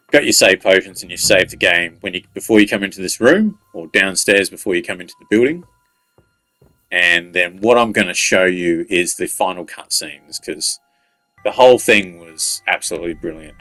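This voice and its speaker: Low-voiced man giving casual game tips. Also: a bit bright, dry recording, low-quality recording. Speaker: gaming video creator giving walkthrough tips. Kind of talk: casual unscripted game commentary. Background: faint game music.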